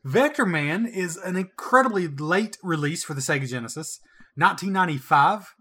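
Wry Southern man, bright voice. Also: on the high side, lively intonation, normal speed, slightly rough. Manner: joking, wry, laughs often. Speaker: podcast co-host. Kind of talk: casual chat.

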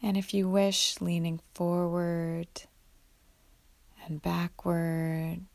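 Casting: female meditation guide, low register, gravelly fry at the edges, gentle unhurried delivery, close dry sound. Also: dry recording. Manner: gentle, unhurried, with long pauses. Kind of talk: guided mindfulness meditation, instructional.

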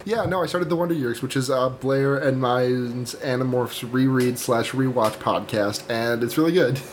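A man's voice: mid-range voice, normal speed. A man co-hosting a casual podcast chat, joking and self-mocking, stumbling over words at times. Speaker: podcast co-host. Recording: clear background noise on the mic.

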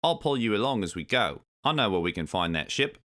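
Man voicing a bold, bright heroic swordfish. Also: on the low side, big pitch swings, a little fast. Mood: confident. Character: heroic friendly male swordfish, bold, confident helper.

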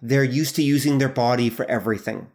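A man's voice: mid-range voice, steady tone, fast talker, darkish tone. A man speaking as a podcast guest, explaining things casually and emphatically. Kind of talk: casual explanatory interview talk.

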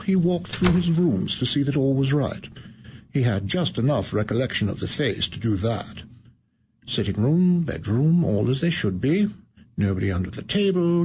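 Man, narrator, measured and evocative, mid pitch, faint hiss. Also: darkish tone. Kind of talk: dramatic storytelling narration.

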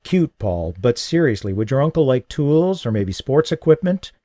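A man's voice: mid-range voice, big pitch swings, moderate pace, dark tone, dry recording, clean studio sound, voice pushed up, close to an everyday voice. A male narrator voicing a radio host who speaks in a direct, firm way. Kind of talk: audiobook reading.

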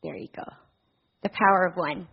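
A middle-aged woman from the Philippines, a charity director speaking earnestly to a church congregation, with soft fillers and a warm, personal manner. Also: lowish voice, steady tone, fairly quick, vocal fry, slightly rough, highs cut off. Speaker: director of a family services charity.

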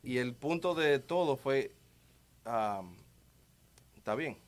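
A man's voice: mid-range voice, lively intonation, slow pace. Emphatic Dominican man talking faith. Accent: Dominican Spanish. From Dominican Republic. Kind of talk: conversational religious talk.

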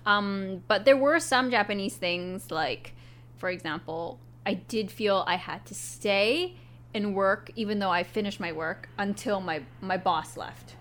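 A woman's voice: medium pitch, unhurried, a bit bright, slight background noise. A young woman talking casually about her work, hesitant with small restarts. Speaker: co-host, English teacher. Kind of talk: casual chat.

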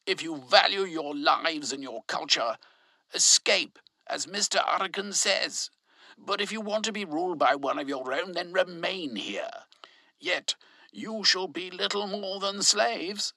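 A man's voice: sits high, lively intonation, a little slow, pushed and bright, no echo, voice pushed up, exaggerated voice. A man voicing a bold young male adventurer who makes a defiant, declaiming speech.

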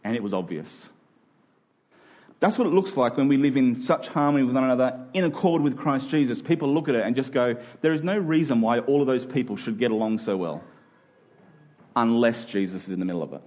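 A man's voice: medium pitch, animated, fairly quick, a little mellow. A man preaching a conversational sermon to a congregation, in colloquial language with emphatic repeated phrases. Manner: colloquial, dramatizing imagined exchanges.

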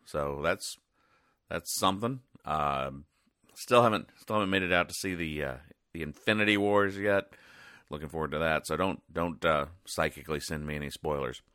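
A man hosting a casual comedy podcast, recapping things in a deadpan, rambling, halting way. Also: extremely low pitch, dry recording, clean studio sound. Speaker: podcast host.

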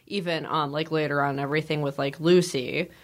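Snarky low-voiced woman podcaster. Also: steady tone, fairly quick. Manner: snarky, blunt, swears, chatty with many likes. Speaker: podcast co-host. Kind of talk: casual movie chat.

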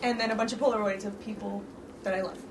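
A woman's voice: mid-range voice, steady tone, fast. A young woman, a college student, introducing herself in a giggly, slightly shy way. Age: young adult. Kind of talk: casual self-introduction. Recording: crowd chatter and noise, mic far off.

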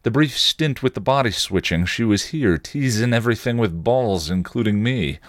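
A cocky, passionate vampire voiced by a man reading a story. He goads and presses insistently in a low, dry-sounding voice with animated swings of pitch. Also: normal speed, no echo, lightly acted. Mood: goading. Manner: provocative, insistent, goading. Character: cocky, taunting, passionate male vampire with British slang.

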